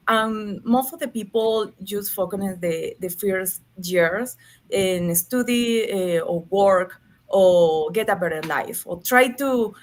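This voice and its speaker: A woman with a Venezuelan Spanish accent talks over a video call, her English earnest and a little halting. She is a native Spanish speaker explaining her work as a panel guest, in a voice of middling pitch. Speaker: nonprofit leader, guest. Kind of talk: online panel discussion.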